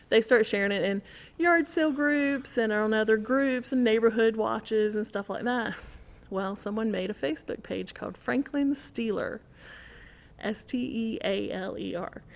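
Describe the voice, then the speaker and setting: A woman's voice: a little high, gravelly. Woman casually telling an anecdote. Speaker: podcast guest telling an anecdote. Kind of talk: casual conversational storytelling.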